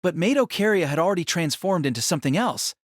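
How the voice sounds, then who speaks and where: Male narrator, slightly high voice, very dry recording, solemn dramatic fantasy storytelling. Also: animated, fast talker, no echo.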